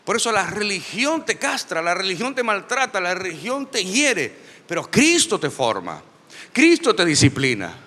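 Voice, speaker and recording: High, bright-voiced preaching man. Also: very animated, normal speed, long reverb tail. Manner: emphatic, repetitive preaching with humor. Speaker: pastor. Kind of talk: lively sermon. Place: church.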